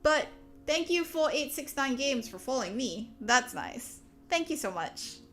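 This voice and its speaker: Malaysian woman streamer, a touch high, loose rambling chat full of fillers. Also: big pitch swings, a little slow, fairly bright tone, faint music behind. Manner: rambling, many fillers and restarts. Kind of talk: casual chat.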